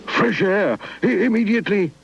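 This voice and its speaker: A man's voice: high-pitched, lively intonation, normal speed, creaky, a little hiss or hum, pitched above the performer's own voice. A British man voicing a pompous, nasty toy leader in a children's puppet story. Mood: panicked. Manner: self-important, theatrical. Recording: dull lo-fi 1980s VHS tape.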